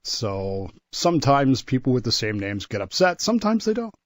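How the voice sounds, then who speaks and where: An American man co-hosting a history segment on talk radio, explaining things in a wry, measured, casual way. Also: mid-range voice, very animated, no room sound, clean studio sound.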